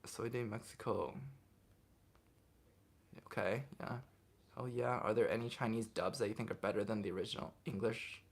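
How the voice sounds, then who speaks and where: A young man hosting a casual livestream, reading viewers' comments aloud and answering them off the cuff in a relaxed way. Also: lowish voice, normal speed, gravelly, long pauses, husky. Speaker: livestream host answering chat.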